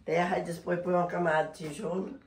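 A woman's voice: lowish voice, flat delivery, quick pace, crowd noise. An older woman with a cold, chatting casually in a plain-spoken way. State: has a cold. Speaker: villager hosting guests at home. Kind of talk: casual chat. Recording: echoey, distant, voices in background.

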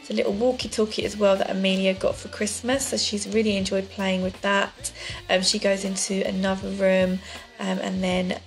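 Chatty woman, gravelly edge. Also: mid-range voice, level tone, moderate pace, poor audio. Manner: chatty, many ums. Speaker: vlogger. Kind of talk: casual clean-with-me voice-over. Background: soft background music.

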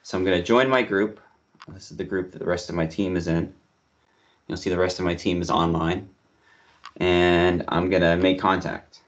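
A man's voice: low voice, fairly even intonation, normal speed. A man in his thirties demonstrating an app and making radio-style calls over a video call. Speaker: demonstrator playing field operator. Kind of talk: practical demo and radio calls. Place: indoor room on video call. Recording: dull video call audio.

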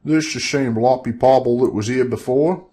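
A man voicing a loud, pompous court herald. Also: mid-range voice, moderate pace, voice pushed up, natural voice.

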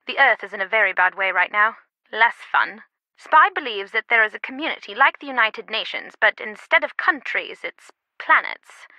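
Casting: female game character, loyal secretive confidante, earnest and measured, fairly high crisp bright voice, dry close sound. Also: no echo, low-quality recording. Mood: earnest, insistent. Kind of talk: video game voice acting.

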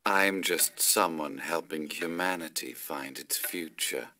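A man playing a cool, secretive advisor in a video game, cryptic and smoothly polite. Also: very deep voice, slow, bright, forceful tone, slight background noise, heavily acted. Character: enigmatic, scheming male advisor, cool and secretive. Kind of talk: video game cutscene acting.

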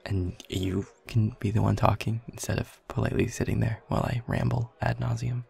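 A man's voice: mid-range voice, creaky, a little mellow, slightly rough, heavily acted. A young man playing a shy, self-deprecating new coworker in an intimate audio roleplay, speaking hesitantly and getting flustered. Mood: awkward, hopeful. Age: young adult. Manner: hesitant, flustered, stammering, nervous chuckles. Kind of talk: intimate audio roleplay, one side of a conversation.

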